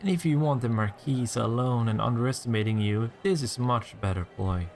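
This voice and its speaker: Man acting a sly, creaky-voiced teasing vampire. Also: medium pitch, moderate pace, a little mellow, natural voice. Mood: sly, conspiratorial. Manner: sardonic, taunting, playful. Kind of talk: roleplay audio drama, intimate one-sided dialogue.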